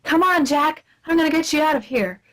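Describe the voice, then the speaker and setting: A woman acting as female secret agent, higher-pitched, brisk, commanding, deadpan, coolly deadly, comic radio drama. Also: rough recording. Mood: urgent. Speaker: actress in a radio play.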